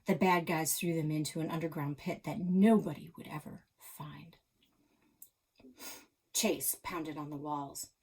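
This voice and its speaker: A woman reading a children's story aloud as a dramatic narrator, expressive and suspenseful. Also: low-pitched, unhurried, slightly rough.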